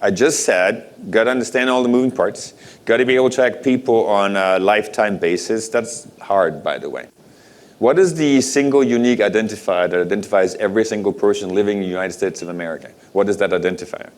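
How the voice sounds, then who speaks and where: A man's voice: lowish voice, fairly quick. Danish-born man giving a keynote talk. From Denmark. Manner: rhetorical questions to the audience, conversational. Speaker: conference speaker.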